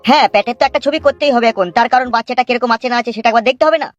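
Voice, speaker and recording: A moralizing village doctor played by a man gives calm, practical advice. The cartoon voice runs very quickly, with some music underneath. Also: very high voice, muffled recording. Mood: matter-of-fact. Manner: advising, matter-of-fact. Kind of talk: cartoon comedy-drama voice acting.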